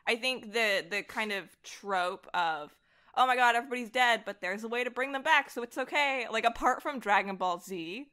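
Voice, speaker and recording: A woman chatting casually as an interview guest, animated, giggly and self-deprecating about herself. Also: a little high, normal speed. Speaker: podcast guest, voice actor and director. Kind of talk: casual interview chat about comics and horror.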